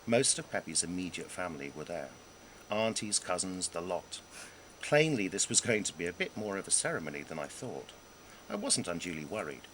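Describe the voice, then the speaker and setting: A man reading his own humorous memoir aloud in a wry, self-deprecating way, with dramatic pauses and emphasis. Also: sits low, very animated, a bit bright, a little hiss or hum, rough recording. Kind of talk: scripted narration of a humorous memoir.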